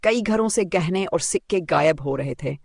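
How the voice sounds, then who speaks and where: A man narrating a scripted folk tale in a suspenseful, deliberate storytelling manner. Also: fairly high pitch, lively intonation, creaky, dry recording, clean studio sound.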